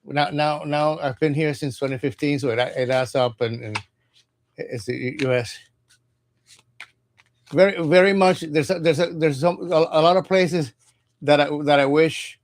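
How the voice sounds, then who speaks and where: A man from Cuba, reading tarot cards in a halting, casual livestream chat and often repeating his words. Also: on the high side. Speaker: tarot reader.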